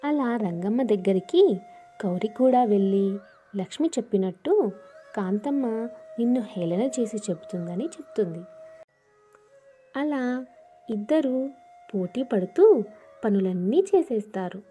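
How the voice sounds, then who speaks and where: A woman's voice: medium pitch, animated, unhurried, pauses often, mellow, faint music behind. A woman reading scripted narration for a cartoon moral story.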